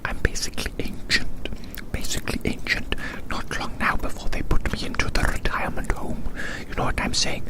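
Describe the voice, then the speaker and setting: A person in their thirties whispering gently and unhurriedly in an ASMR style, rambling and repeating phrases over and over. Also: rough recording. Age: 30s. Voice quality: soft unvoiced whisper. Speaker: ASMR host. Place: outdoors.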